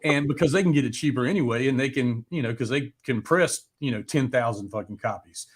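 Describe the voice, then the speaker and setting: A man with a Southern American accent in casual conversation. He is blunt and profane, often circling before he gets to his point. His pitch is average for a man. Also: slightly rough. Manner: blunt, profane, halting questions. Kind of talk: casual chat.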